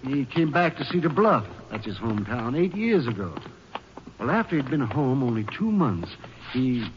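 An actor playing an earnest, worried American hospital doctor in a 1950s radio drama, speaking gravely and haltingly. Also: male voice, medium pitch, very animated, natural voice. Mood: hesitant. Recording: muffled, noisy old radio recording.

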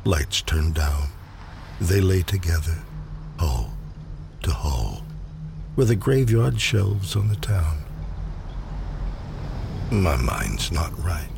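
A man reading poetry aloud in a dramatic recitation, with measured, deliberate phrasing and pauses. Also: deep voice, big pitch swings, vocal fry, noisy background. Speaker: poetry reader. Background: faint ambient sound design.

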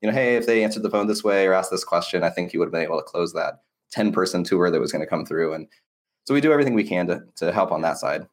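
Man, low register, rapid delivery; earnest, conversational interviewee explaining his business. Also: animated. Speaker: marketing agency owner being interviewed. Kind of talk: casual interview talk.

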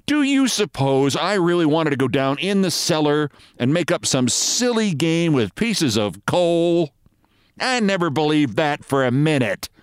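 A man's voice: fairly high pitch, very animated, a little slow, clear, resonant tone, dry recording, studio quality. A man playing a stubborn middle-aged husband who talks in a defensive, petulant, indignant way.

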